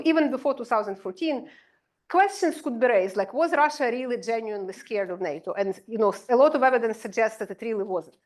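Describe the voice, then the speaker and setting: A woman, a political science professor giving an academic lecture, explaining in a measured way with many "sort of" and "you know" asides. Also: on the high side.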